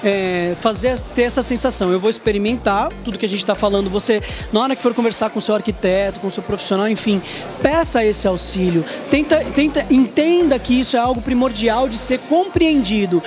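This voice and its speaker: A man's voice: high voice, fairly quick. Prominent music plays behind a Brazilian man in his thirties. He is a talkative TV host doing an interview on location, speaking informally and with emphasis into a handheld mic. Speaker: TV show host. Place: trade fair stand indoors. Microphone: handheld reporter microphone.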